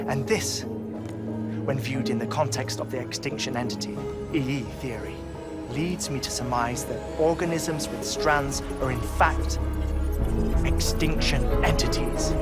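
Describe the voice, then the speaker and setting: An actor playing an earnest middle-aged male scientist in a game cutscene, explaining theories deliberately with dramatic pauses. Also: mid-range voice, close to an everyday voice. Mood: fervent. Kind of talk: cinematic game cutscene acting.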